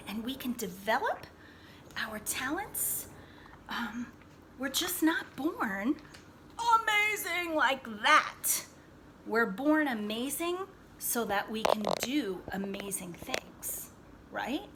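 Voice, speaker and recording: A woman reading a picture book aloud to children like a teacher, expressively, pausing to explain and check in with her young listeners. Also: high-pitched, noisy background. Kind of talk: read-aloud storytelling with asides to young listeners.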